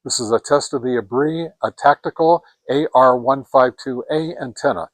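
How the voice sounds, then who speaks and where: A man with a middle-pitched voice gives an informal product review. His delivery is halting and hesitant, feeling its way through each point. The sound is dry, with very little room around it. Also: no room sound. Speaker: amateur radio product reviewer and host.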